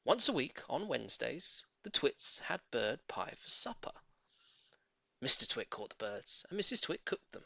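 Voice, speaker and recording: Male audiobook narrator for children's fiction: emphatic, lively, forceful tone, very dry recording. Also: medium pitch, moderate pace, gravelly, breathy edge, dry recording. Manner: theatrical, emphatic storytelling. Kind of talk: expressive scripted narration of a children's story.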